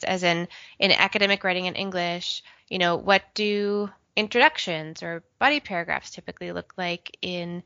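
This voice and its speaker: A woman with a bright, clear voice talks earnestly and reflectively, often reaching for "you know." The recording is dry and slightly muffled. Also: mid-range voice, no echo. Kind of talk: conversational discussion.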